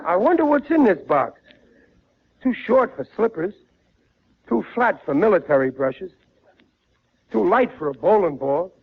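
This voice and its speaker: A man's voice: high-pitched, sing-song, unhurried, gravelly, pauses often, slightly rough, heavily acted. An actor playing a good-natured, blustering middle-aged working man with folksy, ungrammatical speech in a dull vintage 1940s radio sitcom recording. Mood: puzzled.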